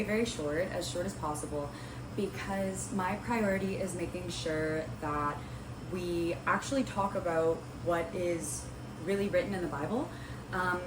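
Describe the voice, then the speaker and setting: Young woman vlogger, low and slightly raspy with a cold, chatty and rambling. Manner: chatty and rambling, many ums and likes. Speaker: host of a Bible study video series. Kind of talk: casual talking-to-camera vlog. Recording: roomy with clear background noise.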